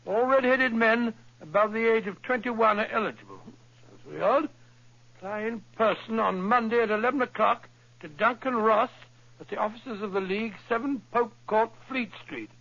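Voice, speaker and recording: An eager, politely astonished Victorian doctor in a vintage radio play. The voice sits high, with a bright, gravelly edge. The recording is dull and lo-fi. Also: male voice, normal speed, exaggerated voice. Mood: reading aloud. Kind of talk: old-time radio drama.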